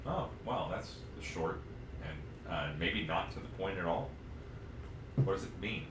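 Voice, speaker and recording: A man's voice: low-pitched, expressive, measured pace, recorded from a distance. A man co-hosting a podcast in loose, informal, casual conversation. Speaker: podcast co-host. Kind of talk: casual chat. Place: untreated room, podcast recorded on a live stream. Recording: echoey room with noticeable background noise.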